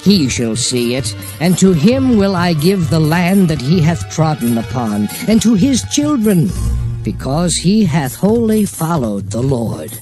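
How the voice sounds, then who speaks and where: Man acting Moses, solemn, slow and high-voiced. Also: very animated, mellow, soft background music. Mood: stern. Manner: solemn, declamatory, emphatic oratory. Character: Moses, solemn male prophet recounting God's commands to Israel. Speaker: Bible narrator. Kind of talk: dramatized King James scripture reading.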